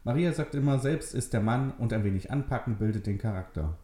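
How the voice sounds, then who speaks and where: A man's voice: mid-range voice, a little fast, natural voice. A man reading a story, voicing a courteous, kind young man who is a little sheepish, in a roomy-sounding recording. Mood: friendly. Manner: courteous, gently evasive. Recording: roomy echo.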